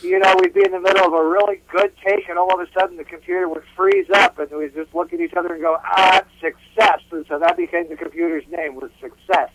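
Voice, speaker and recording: A man's voice: high-pitched, fast talker, hardly any pauses, very noisy. A man speaking as a musician guest in a casual interview, repeating words as he explains.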